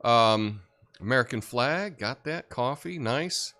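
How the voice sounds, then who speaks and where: A deep-voiced man hosting a livestream, chatting casually and reading viewer comments with lots of fillers. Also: takes it slowly. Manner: rambling, relaxed, many fillers and restarts. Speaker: livestream host.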